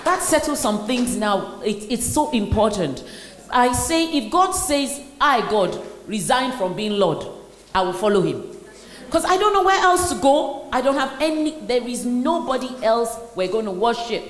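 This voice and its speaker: A Nigerian woman hosting a live session, telling stories in an animated way with bursts of laughter. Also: fairly high pitch, moderate pace. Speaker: host. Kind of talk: lively onstage conversation. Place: large hall. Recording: echoey hall with faint noise.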